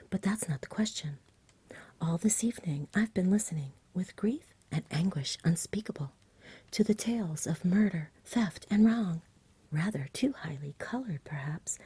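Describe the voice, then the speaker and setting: A woman voicing a courteous, melancholy knight with formal speech, whispered softly in an ASMR storybook reading. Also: on the low side, breathy edge. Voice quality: soft, whispery. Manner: formal, grave, good-natured.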